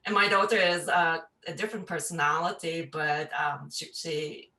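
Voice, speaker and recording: Low-voiced woman, echoey. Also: steady tone, moderate pace, bright, forceful tone. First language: Turkish. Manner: thoughtful, many fillers and restarts. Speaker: parent and neuroscience researcher. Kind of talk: casual panel remarks. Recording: echoey room, distant mic.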